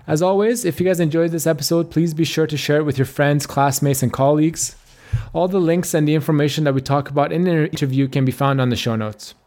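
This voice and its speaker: A man's voice: fairly high pitch, fast talker, darkish tone. A young man hosting a dental podcast, reading a friendly intro then chatting casually with frequent fillers. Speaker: podcast host and dentist. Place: Australia.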